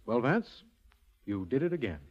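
A muffled vintage radio recording of an earnest male district attorney. His middle-pitched voice rises and falls freely in 1940s drama style, playing straight man to a detective. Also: very animated, moderate pace, breathy edge. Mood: easygoing, curious. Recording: dull old radio recording.

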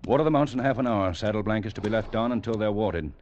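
An American actor playing a stern, exacting older cavalry captain in a radio drama, speaking in a measured, formal, lecturing way. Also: male voice, lowish voice, a little mellow, natural voice. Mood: stern, commanding. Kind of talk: 1940s-50s radio acting. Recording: dull, hissy old radio recording.

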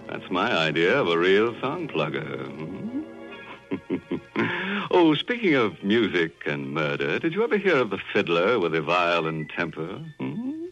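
A man's voice: deep voice, huge pitch swings, slow pace, gravelly, a bit bright. A man hosting a 1940s radio mystery show, theatrical, punning and chuckling. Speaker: host. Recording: dull old radio recording with music.

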